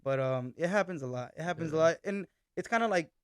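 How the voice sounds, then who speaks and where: A young man, a band's singer, chatting casually on a podcast, telling relaxed stories and mixing Spanish phrases and swearing into his talk. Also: on the high side, fast, clear, resonant tone, dry recording, studio quality. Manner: laid-back storytelling, mixes Spanish phrases and swearing. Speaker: band vocalist and accordion player.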